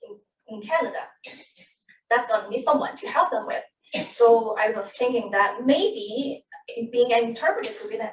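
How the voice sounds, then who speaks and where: A woman in her thirties, an English speaker, telling the story of how she became a medical interpreter at a panel, with many restarts and self-corrections. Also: on the high side. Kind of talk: personal account at a panel. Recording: echoey room, distant mic, faint noise.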